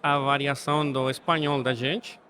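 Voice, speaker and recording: A man from Guatemala with a Spanish accent, speaking Portuguese as a second language as a podcast guest, measured and thoughtful. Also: mid-range voice, level tone, sharp tone. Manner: thoughtful, measured, ends points with "sabe?". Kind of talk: podcast interview. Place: studio setting.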